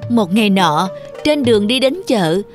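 A woman's voice: medium pitch, expressive, normal speed, faint music behind. A woman narrator reading a scripted fairy tale for children.

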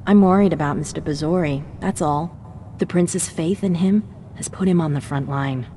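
A woman's voice: low voice, moderate pace, warm tone, in a large hall, slight background noise, lightly acted. A woman playing a poised, ambitious researcher in a video game, polite, composed and sure of herself. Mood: polite, uneasy. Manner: polite, composed, self-certain.

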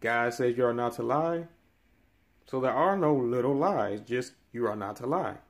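A man in his thirties giving earnest Bible-teaching commentary straight to camera, preacherly and building in force on key points, recorded on a phone held close in a small room. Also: normal speed. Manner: preacherly, rising in pitch and force on key phrases. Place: small room indoors by window blinds.

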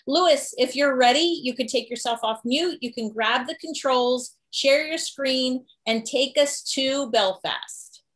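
A woman's voice: high voice, unhurried, hardly any pauses, a bit bright. Upbeat woman introducing an online event. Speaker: tour company host. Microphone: video call.

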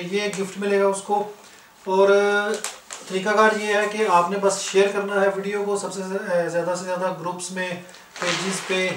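High-voiced Pakistani salesman, roomy distant sound. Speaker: shop seller, video host. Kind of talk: informal product demonstration sales talk. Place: indoor room, goods spread on floor. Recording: roomy, distant mic, faint noise.

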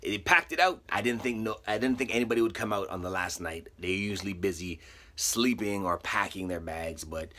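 A man's voice: low-pitched, brisk. Laid-back man vlogging on his phone. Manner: relaxed, self-mocking, chatty. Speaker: comedian vlogger. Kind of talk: casual vlog. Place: cruise ship cabin and theater. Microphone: phone held at arm's length.

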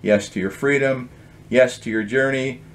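A man's voice: mid-range voice, steady tone, moderate pace, pitched above the performer's own voice, lightly acted. A man voicing a curious, persistent female chicken in a storybook, with a questioning, insistent manner. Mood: encouraging.

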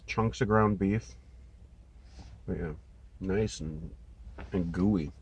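A man's voice: low voice, slow, husky. A man in his thirties with an Upper Midwest accent, casually reviewing snack food from a parked car. He is a bit congested, fills gaps with ums, and chats while he eats. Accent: Upper Midwest American. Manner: relaxed, many filler ums, talks while eating. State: slightly congested. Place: parked car, indoors, small cabin. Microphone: phone on dash holder. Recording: phone in car with steady background noise.

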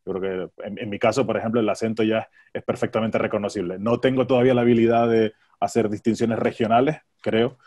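A man in his forties with an accent from Spain speaks in a fairly low voice. He is a radio journalist chatting on a panel by video call, often repeating words as he thinks aloud. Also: fairly even intonation, quick pace, hardly any pauses, darkish tone. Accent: Spanish from Spain. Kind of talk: conversational panel discussion. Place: home study, on a video call. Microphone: earphone headset on a video call.

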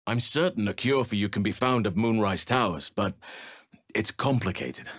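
A man voicing an ancient, earnest elf druid in a video game, speaking in a calm, formal and solemn way. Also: on the low side, dry recording, clean studio sound. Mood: thoughtful, solemn. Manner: measured, formal, solemn phrasing. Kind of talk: video game character dialogue.

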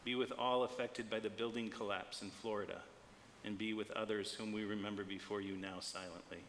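A middle-aged man, a pastor, reading prayers in a measured, solemn and deliberate way. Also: lowish voice, steady tone, a bit bright. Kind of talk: read liturgy and intercessory prayer. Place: church sanctuary, standing at the altar. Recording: long church reverb, clear background noise.

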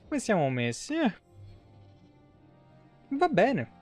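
A man's voice: sits high, wildly swooping pitch, slow, a little mellow, soft background music. A man in his thirties with a central Italian, Roman accent, giving casual live gameplay commentary in a colloquial, salty-tongued way, thinking aloud. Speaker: game streamer, host. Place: indoor streaming setup at home.

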